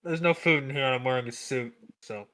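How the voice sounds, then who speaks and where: A man voicing a dry-witted spaceship crewman on guard duty in a tabletop game, in deadpan, clipped radio talk. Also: mid-range voice, a little fast, no echo, lightly acted. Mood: wry.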